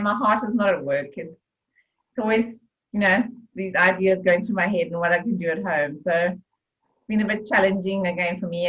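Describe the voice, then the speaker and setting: A woman's voice: mid-range voice, fairly bright tone, highs cut off. A woman on a group call, stuffy with a cold, weary and frank. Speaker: group participant. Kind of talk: casual chat on a group call.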